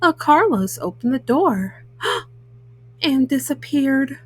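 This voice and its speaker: A woman reads a children's picture book aloud, haltingly, often stopping on small hesitant fillers. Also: sits high, expressive, no echo. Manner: halting, word-by-word reading with frequent hesitant fillers. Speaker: storyteller, host of a children's read-aloud show.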